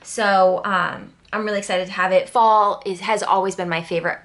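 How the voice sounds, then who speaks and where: A woman's voice: mid-range voice, steady tone, moderate pace, a bit bright. An American woman from Connecticut talking casually through a planner walkthrough, wandering into chatty asides. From Connecticut, New England, United States. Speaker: planner channel host.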